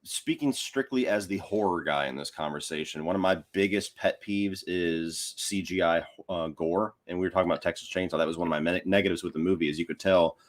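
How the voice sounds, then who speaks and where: A man's voice: sits low, fairly quick, few pauses. A man talking casually and giving his opinions as a guest on a film podcast.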